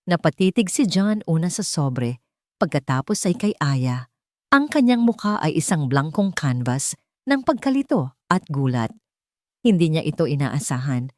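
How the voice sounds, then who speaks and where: A woman's voice: low-pitched, very animated, normal speed, no room sound, studio quality. A woman reading a dramatic romance story as a scripted narration.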